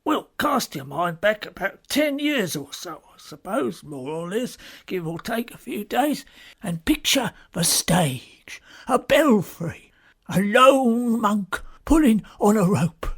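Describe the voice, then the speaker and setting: Rambling, gossipy theatre stagehand played by an actor, swooping pitch. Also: sing-song, takes it slowly, gravelly, a bit bright, breathy edge, heavily acted. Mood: dramatic, relishing. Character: rambling, chatty old theatre stagehand turned witness, male. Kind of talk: stage-style radio murder mystery acting.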